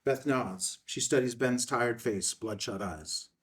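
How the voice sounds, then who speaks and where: A man's voice: medium pitch, slow, distant mic. A man reading out stage directions as scripted narration at a film table read.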